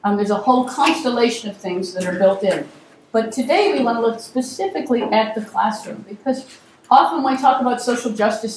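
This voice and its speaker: A woman's voice: on the high side, a little mellow. A middle-aged woman directing a university program, opening a workshop with a casual talk, her voice weak and strained, full of ums and restarts. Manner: conversational, many ums and restarts. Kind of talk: welcoming talk to a live audience. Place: university seminar room. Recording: echoey room, voice from far off, clear noise.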